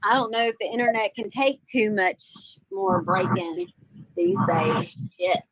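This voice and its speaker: A woman co-hosting a casual stream, making wry, drawn-out asides. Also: on the high side, animated. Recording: noisy stream audio.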